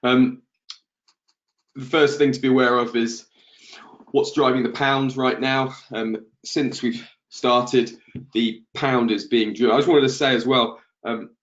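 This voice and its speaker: A man's voice: mid-range voice, fairly even intonation, measured pace, muffled recording. A man recovering from the flu, sounding bunged up and coughing now and then, hosting a market-analysis webinar with lots of hesitant ums and restarts.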